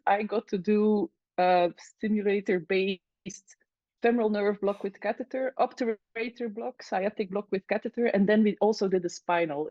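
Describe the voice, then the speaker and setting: A woman's voice: mid-range voice, no room sound. Woman, hesitant, muffled dry sound. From Belgium. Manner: hesitant, many pauses and fillers. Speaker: anesthesiologist guest. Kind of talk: casual interview.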